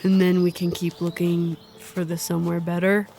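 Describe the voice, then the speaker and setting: A woman's voice: on the low side, completely flat delivery, normal speed, vocal fry, warm tone, a little hiss or hum. A woman acting in an audio drama as an anxious, weary, earnest young traveler, hesitant and plaintive. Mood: uneasy, hopeful.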